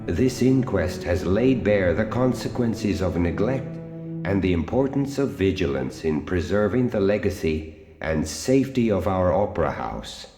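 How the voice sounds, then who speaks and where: A man playing a grave, formal inquest chairperson, speaking in measured, solemn oratory in an audio drama. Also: sits low, expressive, dark tone. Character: grave, formal male inquest chairperson addressing an opera house. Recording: cavernous reverberant hall, faint music bed.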